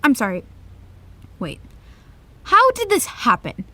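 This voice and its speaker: An actress playing a groggy, placating young best friend in an audio drama, casual and hesitant. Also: female voice, high-pitched, wildly swooping pitch, slow pace, bright, forceful tone, no room sound, full character voice. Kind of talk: naturalistic audio drama acting.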